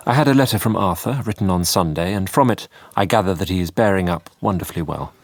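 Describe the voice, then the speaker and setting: Sober, very deep-voiced Victorian doctor. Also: male voice. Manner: measured, restrained, formal. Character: sober, educated Victorian doctor narrating his journal. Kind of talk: radio drama narration.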